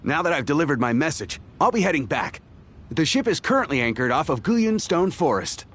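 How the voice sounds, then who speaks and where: Game sailor messenger: young, polite, dutiful, animated, lightly high male voice. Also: a little fast, background noise. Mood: friendly. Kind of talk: video game character acting.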